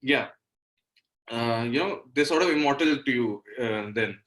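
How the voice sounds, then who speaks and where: Male, 20s, Indian accent, singer-songwriter interview guest. Bright voice, average pitch, reflective and explanatory, conversational. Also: a little slow, poor audio. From Delhi, India. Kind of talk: casual interview talk.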